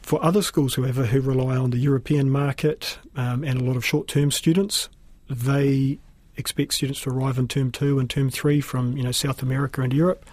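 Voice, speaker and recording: A man with a New Zealand accent speaks in a measured, explanatory interview style. His voice is slightly husky and stays in a narrow pitch range. The recording is close and dry. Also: fairly even intonation, darkish tone, no room sound. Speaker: school principal being interviewed.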